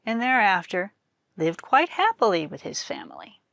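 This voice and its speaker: Woman, storyteller, mid-pitched, expressive read-aloud folktale delivery, dry close recording. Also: normal speed, vocal fry, dry recording. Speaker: storyteller reading aloud for a library event.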